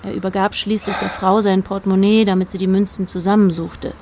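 A woman narrating a literary radio play in a measured, precise manner. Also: mid-range voice, flat delivery, a little mellow, a little hiss or hum, close to an everyday voice.

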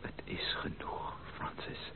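An actor playing an ardent, determined gentleman suitor, emphatic and romantic, in a Dutch radio play. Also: slow pace, in a whisper, natural voice. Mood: fervent, intimate. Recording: narrow, noisy old radio play recording.

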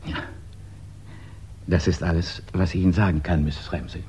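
A man's voice: deep voice, flat delivery, normal speed, darkish tone, natural voice. An actor playing a courteous, formal male investigator addressing a client in a 1960s German radio crime drama. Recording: dull 1960s radio recording, noisy background.

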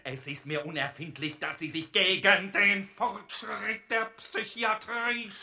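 An actor playing a stubborn, self-assured psychiatrist in a radio drama, formal and pointed. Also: male voice, sits high, fairly even intonation, measured pace, creaky, sharp tone, exaggerated voice. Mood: defensive. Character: self-assured, stubborn clinic psychiatrist, defending his methods.

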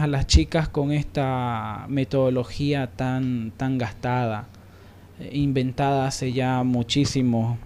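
A man's voice: mid-range voice, a little mellow. A man hosting a panel discussion, speaking reflectively with emphatic repetition as he searches for words. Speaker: radio programme host.

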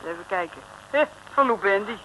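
A woman's voice: medium pitch, lively intonation, brisk, a bit bright, lightly acted. An actress playing a naive, eager young woman, a detective's sidekick, polite and exclamatory in an old radio serial. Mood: curious. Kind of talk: early-1960s radio serial acting. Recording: noisy vintage radio recording.

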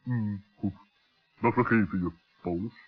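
A grave, kindly owl, acted by a man, with a rather low, rough husky voice. He admonishes like a wise father in a children's radio play, on a dull old recording. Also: measured pace, faint music behind. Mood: soothing.

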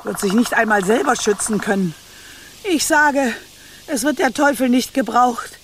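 A woman's voice: a little high, slight background noise, natural voice. An actress playing an old scheming witch grandmother in a radio play, muttering with smug malice. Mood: gloating. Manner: slow, muttering, self-satisfied, incantatory. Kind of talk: German radio play acting.

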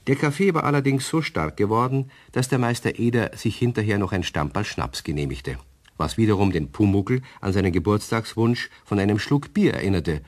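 A man narrating a children's radio play as storyteller, measured and expressive. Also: lowish voice. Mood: storytelling.

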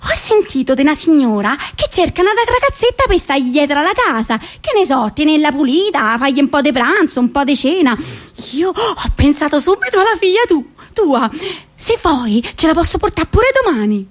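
A woman's voice: sits high, very animated, moderate pace. A woman voicing a gossipy country villager bringing news, chatty and colloquial, with a Roman accent, in a radio play. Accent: central Italian, Roman.

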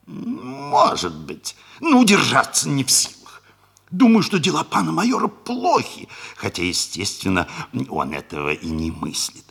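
An actor playing a sly, gossipy neighbour who narrates a 1960s Soviet radio comedy in an arch, confiding way. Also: male voice, mid-range voice, huge pitch swings, unhurried, creaky, fairly bright tone, husky, full character voice.

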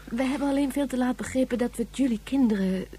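An actress playing a gentle, reasoning mother in a radio drama, soothing and questioning as she speaks. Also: high voice, fast talker, lightly acted. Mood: gentle, earnest. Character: gentle, reasoning woman, mother comforting a child. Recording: faint noise and hum behind.

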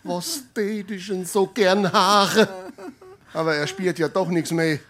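Scolding Hessian creditor. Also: female voice, fairly low pitch, takes it slowly, fairly bright tone. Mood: grudging, resigned. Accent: Hessian, Darmstadt dialect. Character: angry, scolding, hard-nosed creditor demanding payment. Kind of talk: comic dialect stage acting.

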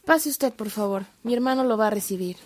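Woman playing a polite welcoming sister in a radio drama, quite high voice, courteous. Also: brisk, darkish tone, natural voice.

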